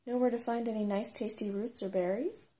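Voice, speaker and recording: A woman voicing a bossy, impatient female brownie creature in a storybook, brisk and blunt. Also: a little high, steady tone, dark tone, lightly acted. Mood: grumbling, brisk. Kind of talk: storybook character reading.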